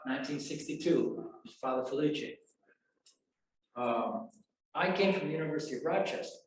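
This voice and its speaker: Older guy giving a chatty speech at a uni event, bit high voice, big echoey hall, faint hiss behind. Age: 70s. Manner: chatty, self-deprecating humor. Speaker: alumnus photographer giving a speech. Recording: very echoey hall, mic far off.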